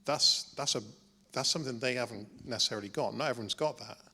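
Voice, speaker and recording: A man's voice: mid-range voice, fast talker, creaky, bright, forceful tone, slightly rough. A man on a film panel who makes his points in an emphatic, persuasive way, often repeating words. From Wales. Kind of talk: panel discussion. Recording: hall reverb.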